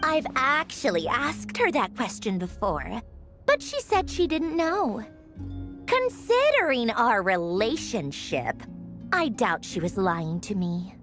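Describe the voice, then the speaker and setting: A woman's voice: fairly high pitch, sing-song, slow, heavily acted. A woman playing a proud, short-tempered, grumbling female villain officer in a video game, with a haughty, blunt manner. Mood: matter-of-fact. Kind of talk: English video game voice acting. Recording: background music under the voice.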